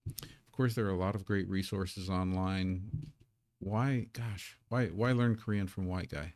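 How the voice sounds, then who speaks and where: A man's voice: low voice, steady tone, a little slow, darkish tone, no echo. A man who is a native English speaker, teaching a live Korean lesson at his streaming desk in a casual, self-deprecating way, repeating words as he sets up.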